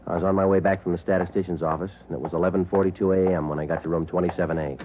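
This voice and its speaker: Man as low-voiced deadpan police detective. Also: rapid-fire, noisy background, natural voice. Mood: matter-of-fact. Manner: deadpan, terse, matter-of-fact. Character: terse, businesslike male police detective sergeant. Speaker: lead actor and narrator. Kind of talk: 1940s-50s radio detective acting. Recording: tinny vintage radio, faint music.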